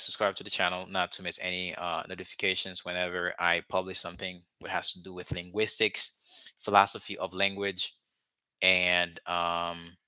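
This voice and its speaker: A man from Haiti whose first language is Haitian Creole talks to his live-stream viewers in a loose, rambling way, full of ums and restarts. His voice sits low but sounds sharp and bright. The sound is close and dry, with no room echo. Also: clean tone. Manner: rambling, many fillers and restarts, chatty with viewers. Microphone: webcam or phone mic, speaking to camera.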